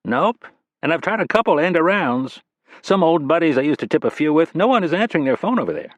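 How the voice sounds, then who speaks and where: Joking ex-marine desk boss, voiced by the audiobook reader, brighter and louder than the narration, ribbing and animated. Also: male voice, fairly high pitch, brisk, dry recording, highs cut off, pitched above the performer's own voice. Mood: teasing. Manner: jokey, teasing smartass. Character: wisecracking middle-aged male spy boss, ex-Marine.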